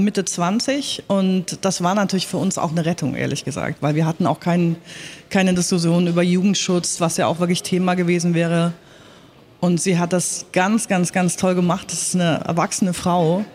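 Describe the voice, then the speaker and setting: A woman's voice: lowish voice, a little mellow. A woman speaking in a panel talk as a radio drama director, explaining her work in an earnest, emphatic way.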